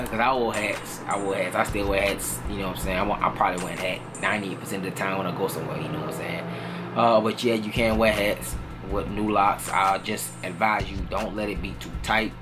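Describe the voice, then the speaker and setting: A relaxed young man, speaking in a fairly low voice. He talks loosely and casually, circling back with "you know what I'm saying," while clear music plays under him. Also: brisk. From New Orleans, Louisiana. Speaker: vlogger answering viewer questions. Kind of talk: casual Q&A chat.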